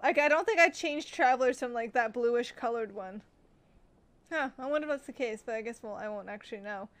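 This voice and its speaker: A woman's voice: a little high, fairly quick, fairly bright tone, soft background music. A woman game streamer reading game text aloud like a storybook and reacting live in a gushing manner.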